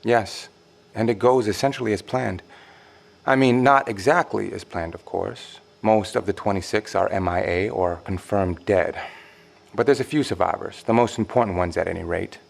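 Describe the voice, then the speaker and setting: Casting: cryptic insider in audio drama, low gravelly voice, formal, measured, drily condescending. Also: male voice. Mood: measured, informative. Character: secretive, composed male insider warning survivors of monsters.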